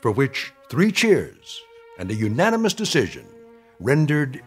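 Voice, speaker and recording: An actor reading the closing narration of a 1950s radio drama, measured and ironic. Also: male voice, mid-range voice, huge pitch swings. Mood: solemn. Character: grave male anthology narrator. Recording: vintage radio recording with music.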